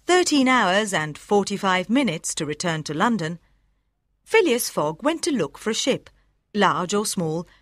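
Lively female audiobook narrator. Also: medium pitch, no room sound, clean studio sound. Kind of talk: scripted storybook narration.

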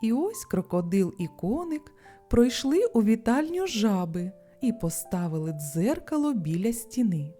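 A woman tells a children's bedtime fairy tale. Her voice is fairly high and very expressive, taken at a slow pace, with faint music behind her. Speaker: storyteller.

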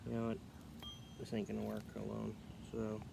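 Man, gravelly low voice, offhand muttering, backyard, camera mic, outdoor noise, passing cars. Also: monotone, normal speed. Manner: offhand, muttering to himself while working. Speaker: outdoor knife vlogger. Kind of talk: casual demonstration talk. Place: backyard outdoors by a chain-link fence. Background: outdoor ambience with passing cars and insects.

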